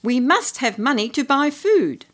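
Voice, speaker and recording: A woman's voice: high voice, very animated, a little slow, fairly bright tone, voice pushed up, heavily acted. A woman voicing a stern, poor mother who scolds her son sharply in a storybook reading. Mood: firm.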